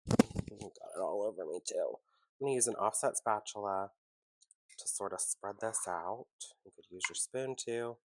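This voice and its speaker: A man hosting a casual home baking tutorial, chatty and relaxed, with a congested, sniffly, nasal voice from a cold. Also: on the low side, moderate pace, rough recording. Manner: chatty, relaxed, self-deprecating asides.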